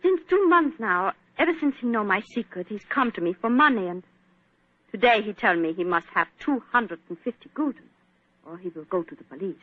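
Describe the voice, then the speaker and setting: An actress playing a proud, formal young Viennese concert pianist with a German accent, stiff and earnest in a 1940s radio drama. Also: female voice, mid-range voice, expressive, fairly quick, no echo, lightly acted. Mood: anxious. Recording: dry, muffled 1940s radio broadcast.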